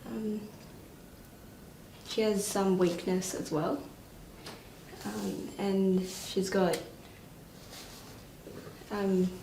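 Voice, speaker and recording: A woman's voice: mid-range voice, steady tone, extremely slow, vocal fry, pauses often, warm tone. A woman speaking tentatively and politely as an exam candidate, examining a patient and presenting clinical findings. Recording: echoey, distant room recording with noise.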